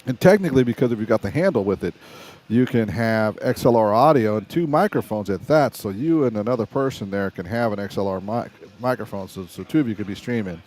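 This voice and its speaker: A middle-aged man with a lasting rasp in his voice talks through a casual live stream. His delivery is chatty and loose, full of restarts and side remarks, and his pitch sits mid-range for a man. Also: darkish tone. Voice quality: raspy. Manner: chatty, rambling, restarts often.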